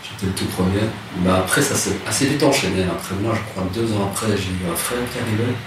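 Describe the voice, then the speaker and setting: A man, telling his life story in a relaxed interview, hesitant and soft-spoken with many restarts. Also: on the low side, quick pace. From Rwanda. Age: 30s. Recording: echoey room, distant mic, noisy.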